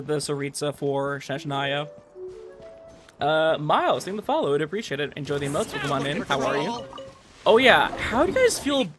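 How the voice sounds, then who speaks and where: A man with a fairly high-set voice talks to his livestream audience. He is conversational and rambles loosely from thought to thought. Soft music plays faintly behind him. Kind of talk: casual chat with stream viewers. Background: background music.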